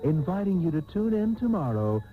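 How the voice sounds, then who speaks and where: A man's voice: high-pitched, big pitch swings, brisk. A man playing a pompous, mock-grand host of a parody radio soap, with florid theatrical delivery. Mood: mock-solemn. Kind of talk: parody soap opera announcing. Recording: tinny old radio tape. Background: music.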